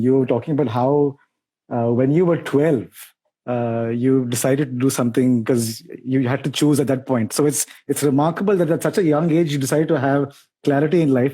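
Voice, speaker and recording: Husky, mellow-voiced male interviewer. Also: mid-range voice, rough recording. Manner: encouraging, stammers while asking. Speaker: interviewer host. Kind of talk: casual interview questions.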